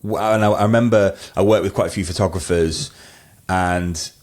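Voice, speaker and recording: A man's voice: low voice, steady tone, normal speed, darkish tone. A middle-aged man with a hybrid Scouse-Mancunian accent, chatting casually in an interview and telling anecdotes in a rambling, self-deprecating way. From northwest England, Wirral and Manchester. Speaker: podcast guest, wedding photographer.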